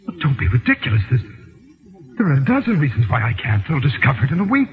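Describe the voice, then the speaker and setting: Flustered impostor, tinny radio sound. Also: male voice, mid-range voice, huge pitch swings, fast talker. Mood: incredulous, flustered. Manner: stammering, flustered, pleading. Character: anxious, cornered male impostor being blackmailed. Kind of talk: old-time radio drama acting. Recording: tinny, narrow-band old radio recording.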